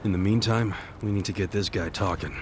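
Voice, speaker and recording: A man's voice: fairly low pitch, fairly even intonation, brisk, background noise, close to an everyday voice. A man acting a blunt, slangy, earnest ex-yakuza in a video game cutscene. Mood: easygoing, sincere. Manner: blunt, slangy, street-tough. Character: rough, earnest ex-yakuza man, hot-headed interrogator. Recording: music behind the voice.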